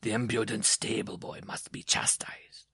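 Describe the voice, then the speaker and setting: A man's voice: lowish voice, little pitch movement, moderate pace, gravelly, bright, forceful tone, slightly rough, no room sound, in a whisper, studio quality, heavily acted. A man voicing a small, vengeful circus dwarf in a story, formal, cold and menacing. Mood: grim, commanding.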